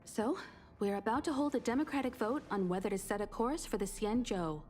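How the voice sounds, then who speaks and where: A woman playing a composed, authoritative crew leader in an anime-style video game, formal and deliberate. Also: a little high, darkish tone, soft background music, close to an everyday voice. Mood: composed, businesslike.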